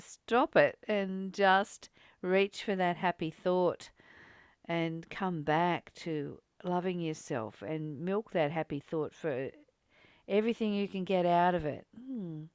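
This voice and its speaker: A woman's voice: lowish voice, takes it slowly, clean tone, no room sound. A woman hosting a reflective spiritual study discussion, speaking in an encouraging, reassuring way. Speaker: study group host.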